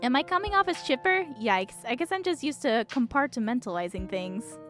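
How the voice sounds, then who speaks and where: A woman puts on a breezy young friend from a visual novel, chipper and easygoing. The voice is high and bouncy, with music underneath. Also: expressive, normal speed, fairly bright tone. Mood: upbeat, chatty. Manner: breezy, chatty.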